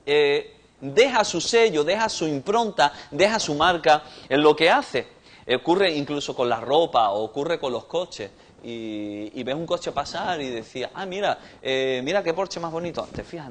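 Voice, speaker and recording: A man with a Cuban accent preaching a sermon in a conversational way that rises in force on key points. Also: fairly high pitch. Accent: Cuban Spanish. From Cuba. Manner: conversational preaching, swelling louder on big points.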